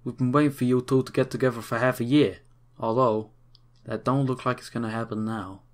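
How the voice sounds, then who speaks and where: A woman putting on a low voice for a teasing young newsboy in a fan audio drama. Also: steady tone, brisk.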